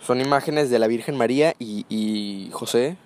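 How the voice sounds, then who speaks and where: A man's voice: medium pitch, moderate pace, a little mellow. A man from Sonora with a northern Mexican accent, chatting casually and patiently explaining holiday customs. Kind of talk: casual chat.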